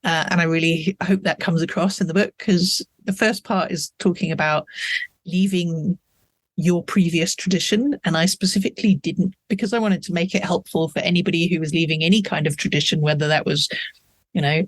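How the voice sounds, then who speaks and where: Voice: medium pitch, fairly even intonation, fairly quick, no echo. An author, a guest talking in a thoughtful, reflective way with wry asides. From United Kingdom. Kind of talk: casual interview conversation.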